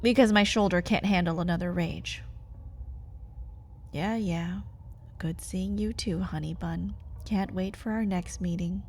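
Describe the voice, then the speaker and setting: A woman's voice: fairly even intonation, a little slow, a little mellow, slight background noise. A woman playing a feral, hungry vampire wife in an ASMR roleplay, mocking and manipulative in manner. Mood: sly, taunting. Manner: taunting, sardonic, swings from low menace to pleading.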